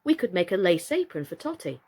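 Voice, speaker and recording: A woman's voice: on the low side, very animated, brisk, darkish tone, husky, full character voice. A woman reading a storybook, voicing a timid, dreamy young girl in a hesitant, questioning way. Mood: practical.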